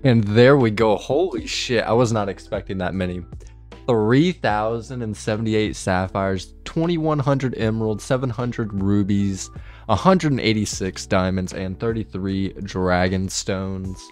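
Young guy, hoarse n raspy from being sick, real low voice, chill game commentary w/ faint music behind. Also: measured pace. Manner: laid-back, swears casually. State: hoarse from illness. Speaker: gaming video creator. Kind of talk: casual gameplay commentary.

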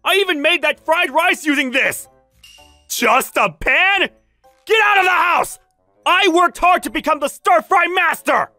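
A man voicing a loyal, hot-tempered young husband, earnest and forceful, in a dramatic manga dub. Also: very high voice, measured pace, no room sound, soft background music, heavily acted. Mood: outraged.

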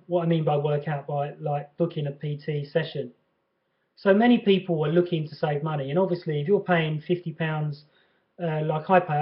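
A middle-aged man talking casually and with opinion to a camera at home, like a personal trainer explaining his trade. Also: a little high. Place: bright furnished living room at home. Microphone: webcam mic at about arm's length. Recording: dull lo-fi webcam sound.

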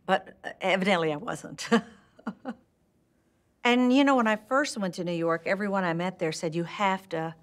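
A woman's voice: lowish voice, moderate pace, pauses often. An older woman from Texas with a Texan accent, candidly recalling her acting start in an indoor interview, laughing often. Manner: warm and candid, laughs often, restarts sentences. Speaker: actress interviewee. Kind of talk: reflective interview talk. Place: indoor room with curtains and plants.

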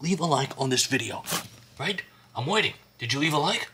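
A man's voice: high voice, sing-song, moderate pace, a little hiss or hum, full character voice. A man voicing a playful, teasing toy monster who bosses the viewers around in a hyper, giggly way. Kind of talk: fan toy-play video narration. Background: loud music.

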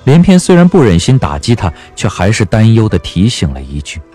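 A man narrates a scripted audio drama in a very deep voice. His delivery is measured and dramatic, with widely moving intonation over faint background music. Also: huge pitch swings, dark tone.

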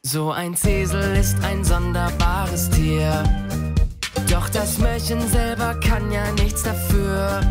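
Lowish-voiced woman over loud music. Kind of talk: children's song and rhymed jingle.

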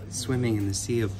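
A man in his thirties filming a casual travel vlog with a phone at arm's length, joking playfully as he talks. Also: mid-range voice. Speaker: travel vlogger. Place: outdoors in a stone town, then a restaurant. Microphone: handheld phone at arm's length. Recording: music playing underneath.

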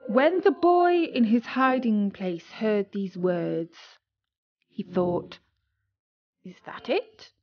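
Unhurried female storyteller, slightly muffled. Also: medium pitch, big pitch swings. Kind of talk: scripted story narration.

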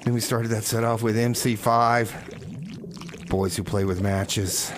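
A man's voice: fairly low pitch, steady tone, unhurried, background noise. A man hosting community radio with casual comedic banter, stammering and repeating himself. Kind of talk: casual music show banter with comedy bits.

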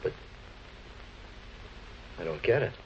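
A man's voice: takes it slowly, slight background noise, natural voice. A man playing a defensive chauffeur in a 1940s television crime drama, talking in a casual, streetwise, evasive way. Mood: defensive, puzzled. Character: slangy, defensive male chauffeur under police questioning. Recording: muffled old film soundtrack.

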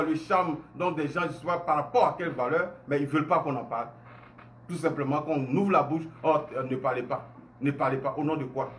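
Ivorian guy in his 40s ranting to his phone at home, highish voice, lots of rhetorical questions. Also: a bit bright, a little hiss or hum. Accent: Ivorian French. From Côte d'Ivoire. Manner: indignant, emphatic, rhetorical questions, gestures a lot. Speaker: online commentator. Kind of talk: impassioned monologue to camera. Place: home room indoors.

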